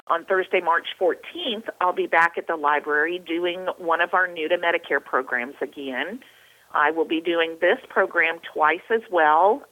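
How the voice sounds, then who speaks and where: A woman speaking as a community educator and radio guest, giving program information in a measured, explanatory way. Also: fairly low pitch, phone-quality sound. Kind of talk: informational announcement in an interview.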